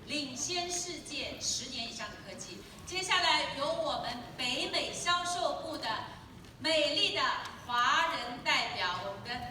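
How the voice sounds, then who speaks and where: A woman emcee hosting a stage event, lively and dropping in English words. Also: sits high, measured pace. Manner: lively, mixes in English words, laughs easily. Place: large convention hall. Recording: echoey hall taped from far off, noisy.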